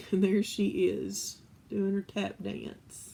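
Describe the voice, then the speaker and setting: Expressive woman reading a children's book. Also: medium pitch, slow pace, creaky, a little hiss or hum. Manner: expressive, acts out dialogue. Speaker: read-aloud storyteller. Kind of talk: children's book read-aloud.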